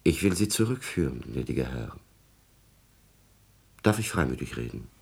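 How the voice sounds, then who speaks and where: An actor playing a servile, scheming court secretary in a classical radio drama, insinuating and calculating in a formal, obsequious manner. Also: male voice, rumbling low, very animated, moderate pace, gravelly, darkish tone, breathy edge, full character voice. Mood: smoothly persuasive.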